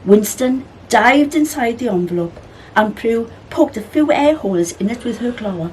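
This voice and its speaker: A woman reading a children's story aloud as a library storyteller, with expressive narration. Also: fairly high pitch, moderate pace, a little hiss or hum, low-quality recording.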